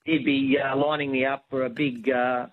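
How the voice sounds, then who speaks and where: An older man from New South Wales with an Australian accent, calling in to a radio show, good-humoured and hesitant, with many pauses. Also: medium pitch, level tone, phone-quality sound. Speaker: caller. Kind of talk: phone-in radio chat.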